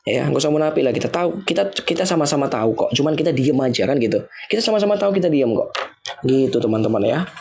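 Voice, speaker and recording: A young Indonesian man talks informally to his livestream viewers, repeating his points and mocking the other side. His voice sits high and carries a gravelly rasp. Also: animated, quick pace, a little mellow. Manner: emphatic, repetitive, mocking.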